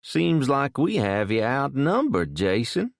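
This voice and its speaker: A man's voice: medium pitch, sing-song, slow, vocal fry, no echo, studio quality, pitched above the performer's own voice, exaggerated voice. A man voicing a cocky young male thug with a South Louisiana drawl, taunting and threatening.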